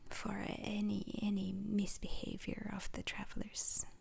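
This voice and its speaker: Woman playing a slow whispering space-academy instructor. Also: mid-range voice, monotone, a little mellow, exaggerated voice. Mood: calm, instructive. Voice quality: breathy, husky whisper. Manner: slow, deliberate, halting, formal. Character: calm, formal female instructor at an intergalactic space agency. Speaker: instructor in an ASMR roleplay. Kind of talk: whispered ASMR roleplay lesson.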